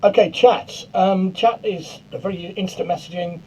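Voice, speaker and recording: A middle-aged man giving an informal software demonstration in a halting way, often stammering and repeating words. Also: high voice, poor audio. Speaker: presenter of a software tutorial.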